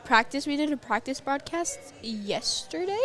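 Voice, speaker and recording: A young American female host in her teens with a slightly high voice. She keeps up informal live sports commentary and slips instructions to the crew into her talk. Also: animated, normal speed, fairly bright tone. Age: teenager. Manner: informal, giving directions mid-talk. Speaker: youth broadcast host directing cameras.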